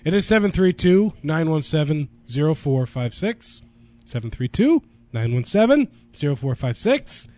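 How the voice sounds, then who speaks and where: A man co-hosting a casual podcast, hoarse from losing his voice and coughing now and then, joking crudely. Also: fairly high pitch, very animated, normal speed, mellow. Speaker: podcast co-host. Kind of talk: casual chat.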